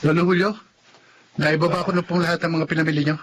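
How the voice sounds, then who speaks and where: Man acting eager, hurried young helper. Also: a little high, flat delivery, over music, natural voice. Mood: helpful. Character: helpful, respectful young male neighbour, eager. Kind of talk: Filipino radio drama acting.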